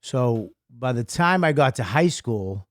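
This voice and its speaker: A man with a Boston accent tells his life story as a guest comedian. He rambles and stumbles over restarts, mocking himself. His voice sits mid-pitch in a dry, close recording. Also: animated, dry recording. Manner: rambling, self-deprecating, halting restarts. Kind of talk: interview storytelling.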